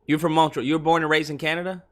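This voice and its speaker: A man's voice: on the high side, flat delivery, rapid-fire. A man hosting a live call-in show, blunt and profane, mocking his callers.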